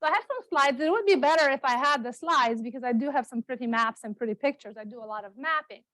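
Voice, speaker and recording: A woman's voice: sits high, fast talker, a bit bright, dry recording. A middle-aged woman from Romania giving a public talk as a guest speaker, chatty and self-correcting, with many ums and repeated words, speaking at a standing microphone. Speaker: guest speaker, geography professor. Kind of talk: public lecture. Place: meeting room, also streamed on Zoom. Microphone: standing microphone, not held.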